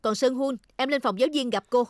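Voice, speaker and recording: Woman as a stern, fast-talking schoolteacher. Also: sits high, a little mellow, no echo, lightly acted. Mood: indignant, stern. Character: stern, brisk female primary school teacher. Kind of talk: children's cartoon dub acting.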